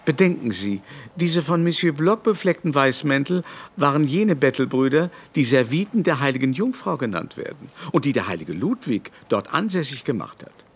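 A man's voice: mid-range voice, big pitch swings, moderate pace, slightly rough. A man playing a haughty, affected baron in a literary radio drama, with a pompous, declamatory manner. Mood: indignant, disdainful. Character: haughty aristocratic baron, affected, brusque and venomously bigoted.

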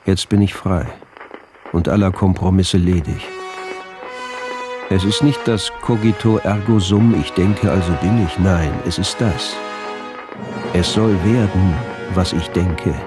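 Low-voiced male visionary, pedantic schoolmaster, solemn, declamatory, obsessive, radio play, music behind. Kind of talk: radio drama monologue.

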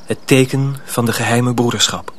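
A man's voice: mid-range voice, fairly even intonation, normal speed, natural voice. An actor playing an earnest, secretive young mill apprentice in a radio drama, protective of his younger comrade. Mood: solemn.

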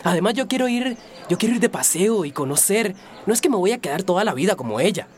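Hijo adolescente, insistente y titubeante. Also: male voice, sits high, sing-song, fast. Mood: playful. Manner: hesitant, pleading, repeats himself. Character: eager teenage son about to finish school. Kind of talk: radio drama acting.

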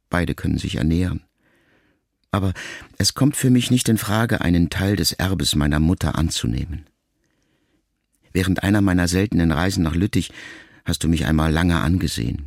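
Deep, gravelly male audiobook narrator. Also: no room sound, studio quality. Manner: measured, reflective, intimate first-person address. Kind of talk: scripted literary narration. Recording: music clearly under the voice.

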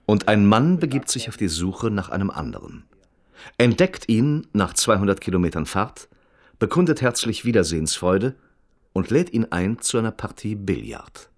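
A wry, precise narrator of a radio crime drama. His voice is low and its melody swings widely. He delivers clipped, literary reportage. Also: very animated, normal speed. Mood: matter-of-fact.

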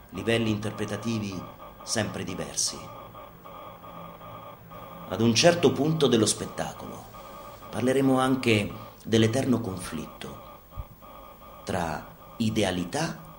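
A man playing a provocative theatrical showman, declaiming a scripted monologue with dramatic pauses. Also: slight background noise, natural voice. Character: brazen theatrical showman presenting a subversive guerrilla show. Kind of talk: scripted theatrical monologue over live music. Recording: echoey stage sound under loud live music. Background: live bass and guitar music.

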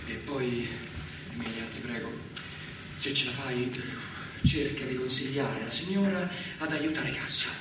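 An actor playing a scheming soldier in a stage drama, bossy and insistent while sounding helpful. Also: male voice, mid-range voice, unhurried, lightly acted. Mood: insistent, coaxing. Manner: insistent, prodding with short questions. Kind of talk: naturalistic Italian stage drama. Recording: very echoey, distant, loud noise.

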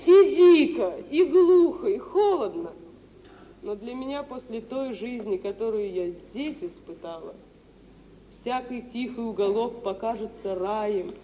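An actress playing an unhappy, dreamy young bride in a theatrical, yearning, drawn-out manner of old Russian radio drama. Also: female voice, on the high side, expressive, slight background noise. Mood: weary longing. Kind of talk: mid-century Russian stage drama acting for radio. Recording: dull 1950s radio recording, faint music.

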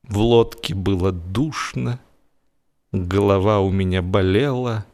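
A man narrating a literary story in an expressive, dramatic radio style, telling it in the first person with deliberate pauses. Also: fairly low pitch. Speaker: audiobook narrator, actor. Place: radio studio. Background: music.

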